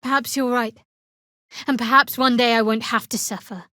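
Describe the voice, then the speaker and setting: A woman voicing a guarded, wry young female cleric of a dark goddess in a video game, speaking in a measured, dry way on a close mic. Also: on the high side, steady tone, dry recording. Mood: resigned. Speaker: fantasy adventurer companion. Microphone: close, dry studio mic.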